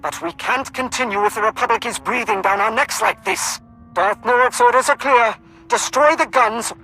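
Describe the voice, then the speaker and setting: A man's voice: very high-pitched, normal speed, pushed and bright, heavily acted, low-quality recording. A man playing an urgent military colonel giving emphatic orders over comms in a video game.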